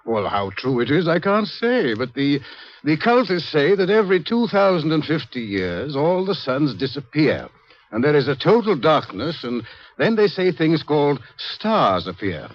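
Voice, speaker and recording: A man's voice: fairly high pitch, sing-song, moderate pace. An actor playing a scrawny, eccentric male scientist who lectures wryly and theatrically in a 1950s radio drama. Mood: wry, explanatory. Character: scrawny, wry male scientist, eccentric professor foretelling doom. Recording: dull vintage 1950s radio recording.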